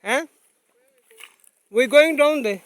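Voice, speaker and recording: A man whose first language is Urdu, vlogging outdoors by a mountain stream in halting, simple English with many fillers, filmed on a phone without a separate mic. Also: very high voice, no echo. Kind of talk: casual vlog narration. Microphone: phone camera, no separate mic.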